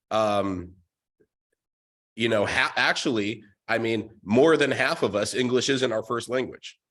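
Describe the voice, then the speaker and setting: A man's voice: medium pitch, a bit bright. A man hosting an online group meeting, talking loosely with many fillers. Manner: rambling, many "you know" fillers. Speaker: meeting host. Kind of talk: casual group call chat. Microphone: video call.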